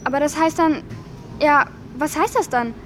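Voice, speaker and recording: A woman's voice: high voice, normal speed, background noise, natural voice. A woman playing a timid, naive teenage girl in a radio drama, hesitant and pleading. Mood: hurt, pleading. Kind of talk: German radio drama acting. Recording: music behind the voice. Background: music.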